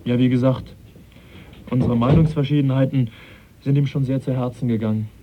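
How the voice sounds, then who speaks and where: An actor playing an earnest, middle-aged male naturalist in a German radio play, measured and educated. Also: mid-range voice, fairly even intonation, background noise, close to an everyday voice. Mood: pensive, concerned. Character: earnest, conscientious male naturalist and lecturer, troubled.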